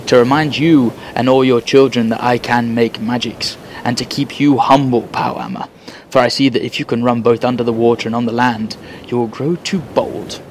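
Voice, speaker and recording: A man's voice: mid-range voice, a little mellow, slight background noise, close to an everyday voice. A man voicing an ancient magician who speaks in solemn, commanding pronouncements.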